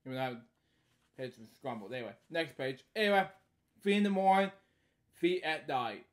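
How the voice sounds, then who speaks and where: A man in his thirties with a somewhat higher-pitched voice reads a children's book at home. He delivers it in short, halting phrases. Between them he drops casual, repetitive asides as the host of a homemade story series. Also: animated. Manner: halting, repeating stock phrases between short read lines. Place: small indoor room at home.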